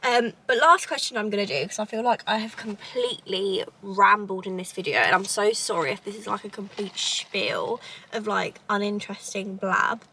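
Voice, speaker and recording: A woman's voice: medium pitch, normal speed, sharp tone, slight background noise, rough recording. Chatty young woman with a southern English accent. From Cambridgeshire, England. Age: 20s. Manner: chatty, rambling, lots of "like" and "obviously". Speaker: vlogger. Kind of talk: casual chat to camera. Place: at home. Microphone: camera in a holder.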